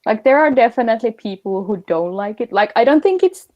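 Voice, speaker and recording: A woman's voice: on the high side, expressive, brisk, highs cut off. A young woman, chatting casually as an interview guest, with many fillers. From Finland. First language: Finnish.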